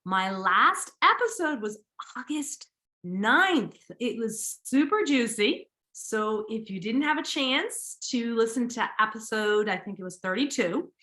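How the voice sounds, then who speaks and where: A woman's voice: fairly high pitch, animated, a little slow, bright, forceful tone, roomy sound. A woman podcast host, talking casually and enthusiastically, with a slight sniffle and a little nasal. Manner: enthusiastic, upbeat, repeats words for emphasis. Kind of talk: casual solo podcast talk.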